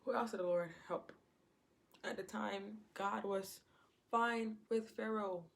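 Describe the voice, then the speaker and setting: A woman's voice: mid-range voice, fairly even intonation, takes it slowly, vocal fry. A woman giving an informal Bible teaching in a conversational way, repeating her phrases and acting out quotes. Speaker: preacher.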